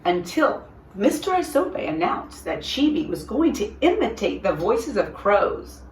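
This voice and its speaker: A woman reading a children's story aloud in an expressive storyteller's style, with deliberate, dramatic phrasing for young listeners. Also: medium pitch, a little hiss or hum. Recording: roomy echo, distant from microphone.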